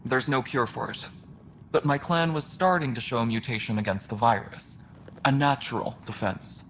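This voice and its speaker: An actor playing a weary, blunt alien station superintendent in a video game, with a dry, matter-of-fact delivery. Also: male voice, mid-range voice, moderate pace, a bit bright, a little hiss or hum, lightly acted. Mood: guarded hope. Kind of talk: video game dialogue acting.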